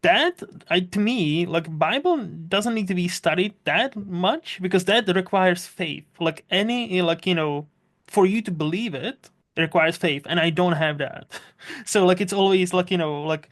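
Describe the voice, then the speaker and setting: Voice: medium pitch, unhurried. A podcast host, not originally from Scotland, chatting casually and often laughing, with many "like"s. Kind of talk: casual conversation.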